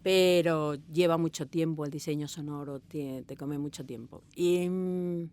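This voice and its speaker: Woman, Spain accent, slightly low pitch. Interviewee, conversational, digressive storyteller who slips into quoted dialogue. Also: fairly even intonation, measured pace, darkish tone. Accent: Spanish (Spain). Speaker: audio fiction director being interviewed. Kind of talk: casual interview chat. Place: small recording booth.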